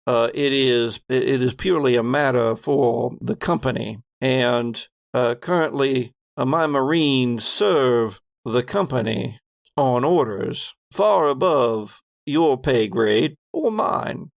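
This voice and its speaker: A man's voice: mid-range voice, takes it slowly, creaky, no room sound, clean studio sound. A man playing an evasive, condescending military commander in a tabletop game, hedging and stammering as he brushes people off. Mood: evasive, dismissive. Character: evasive male military commander serving the company, smugly dismissive.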